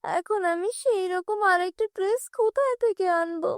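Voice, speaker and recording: A woman's voice: very high-pitched, normal speed, dry recording, studio quality. A woman voicing a humble, kind-hearted, mistreated girl in a children's fairy-tale cartoon, earnest and pleading. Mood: distressed.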